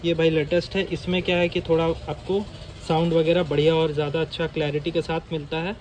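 Guy hosting a casual vlog, slightly high voice, explains stuff informally, noisy room behind him. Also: level tone, brisk, darkish tone. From India. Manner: informal, repeats key points for viewers. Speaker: vlogger and host. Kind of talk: casual vlog chat, explaining products to viewers. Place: small indoor motorbike accessory shop. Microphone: action camera held by the speaker. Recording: noisy room with clear ambient noise.

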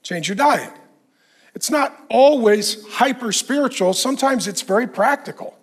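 A middle-aged man preaching a sermon on a church stage, animated and conversational with self-deprecating humor, somewhat hoarse and clearing his throat. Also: very high-pitched, normal speed. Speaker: pastor.

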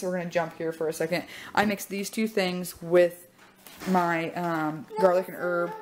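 A young woman talks through her groceries in a casual, chatty way, with frequent "um" pauses. Her voice sits a little low. It was recorded on a camera mic at a kitchen table, with a faint hiss behind her. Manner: chatty, upbeat, many "um" pauses. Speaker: vlogger showing a grocery haul. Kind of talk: casual chat. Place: home kitchen, indoors.

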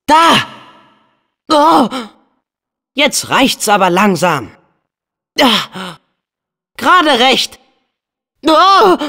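An actor voicing an earnest, excitable teenage boy hero in a video game, with a youthful, expressive and eager delivery. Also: very high voice, extremely slow, creaky, pauses often, heavily acted. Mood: excited, urgent. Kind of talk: video game character dubbing.

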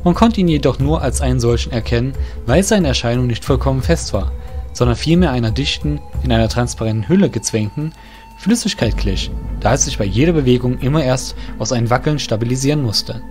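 A man narrating a fantasy audio drama in a measured storytelling way. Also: mid-range voice, lively intonation, darkish tone, soft background music.

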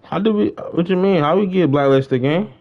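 A man's voice: high-pitched, moderate pace, narrow, tinny audio. A young man chatting casually on a gaming livestream in slangy talk, often repeating his phrases. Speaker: live streamer.

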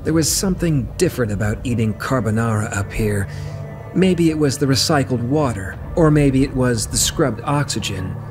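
A man's voice: medium pitch, lively intonation, normal speed. A man reading scripted narration from a novel as its narrator. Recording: prominent music under the narration. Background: music.

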